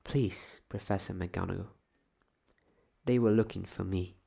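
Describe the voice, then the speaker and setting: A man's voice: sits low, steady tone, measured pace, gravelly, dark tone, husky, exaggerated voice. A man voicing a meek, earnest young schoolgirl in a storybook reading. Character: small-voiced young schoolgirl, earnest and apologetic.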